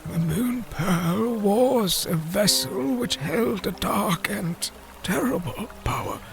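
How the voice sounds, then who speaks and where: High-pitched, solemn old fish-folk advisor. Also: male voice, animated, creaky, heavily acted. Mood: grave. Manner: formal, deliberate, solemn storyteller. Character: old, grave, scholarly male fish-folk royal advisor. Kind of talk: fantasy audio drama acting.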